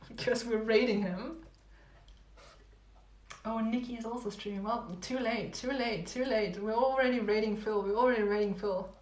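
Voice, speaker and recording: A young woman with a fairly high, slightly hoarse voice chats to her livestream viewers in a loose, rambling way, repeating lines and laughing softly. She sounds like she is losing her voice. The room around her is noticeably echoey. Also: normal speed, pauses often. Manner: rambling, repeats herself, giggly. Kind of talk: casual livestream chat with viewers. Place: home room.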